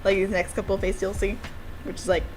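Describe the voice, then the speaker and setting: A woman chatting casually and excitably as she plays through a visual novel she made. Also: mid-range voice, quick pace, faint music behind, poor audio. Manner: giggly, excitable, informal. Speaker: game developer streaming own visual novel. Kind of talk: casual playthrough chat, reading dialogue aloud.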